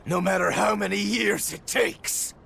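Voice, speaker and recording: A man playing a grim, vengeful swordsman in a fantasy video game, with a gravelly voice. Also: high-pitched, fairly even intonation, a little slow, bright, forceful tone, slight background noise, exaggerated voice. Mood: bitter resolve. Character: grim, vengeful beaten swordsman swearing to win. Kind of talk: English-dubbed fantasy game acting.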